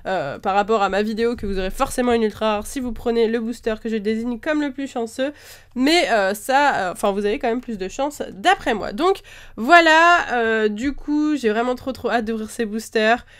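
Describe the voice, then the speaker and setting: Woman with a head cold, chatty and high-pitched. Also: normal speed, fairly bright tone, smooth. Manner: chatty, lists items one after another, frequent "euh" fillers. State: slightly congested from a cold. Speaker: card-opening video host. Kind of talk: casual chat while opening trading card packs.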